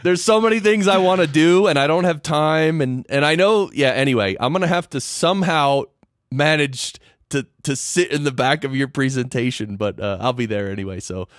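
A man's voice: on the high side, moderate pace, no echo, clean studio sound. A man hosting a podcast interview, joking and enthusiastic in casual chat. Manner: jokey, enthusiastic. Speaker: podcast host.

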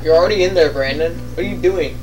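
A man's voice: a little high, fast talker, recorded from a distance, low-quality recording. A man co-commentating on a let's play with casual, sarcastic, joking banter. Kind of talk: casual banter over gameplay. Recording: off-mic, game music behind. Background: video game music.

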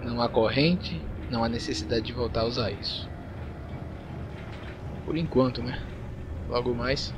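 Casting: Brazilian male gamer, mid-pitched voice, casual play-by-play, clear noise behind him. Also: moderate pace, long pauses. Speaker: gaming YouTuber. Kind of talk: casual gameplay commentary. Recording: noisy, game sound behind voice.

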